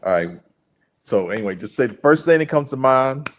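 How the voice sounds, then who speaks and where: A man hosting a podcast, asking casual questions in a relaxed, joking manner while setting up his guest. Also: medium pitch, normal speed. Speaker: podcast host.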